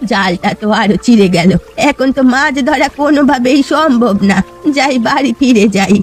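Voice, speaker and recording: A woman's voice: high voice, animated, moderate pace, soft background music. A woman voicing a poor, sorrowful old fisherwoman in a cartoon folk tale, plaintive and resigned. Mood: worried.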